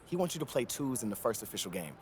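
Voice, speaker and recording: An actor playing a goofy, excitable young man, a star's playful hype friend, in a video game cutscene. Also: medium pitch, fast talker, a little hiss or hum, natural voice. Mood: upbeat, scheming. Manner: playful, theatrical, slangy. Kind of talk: video game cutscene acting, casual banter.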